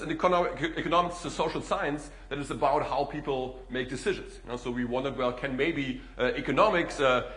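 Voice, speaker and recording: A middle-aged man from Germany with a German accent, giving a relaxed public lecture as a guest speaker and filling pauses with "uh" and "you know". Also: mid-range voice, echoey room. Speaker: guest speaker, economics professor.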